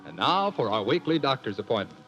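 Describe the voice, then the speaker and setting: Lively American 1940s radio announcer, dull recording. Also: male voice, mid-range voice, a little fast, over loud music. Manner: folksy, chatty salesmanship. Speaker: radio announcer and sponsor pitchman.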